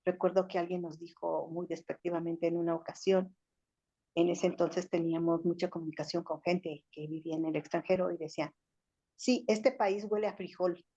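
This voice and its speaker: A woman's voice: fairly low pitch, steady tone, moderate pace, warm tone. Older Mexican woman, reflective and lecturing. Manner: thoughtful, lecturing, many fillers like "o sea" and "este". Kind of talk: reflective talk-show discussion. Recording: slightly dull lo-fi audio.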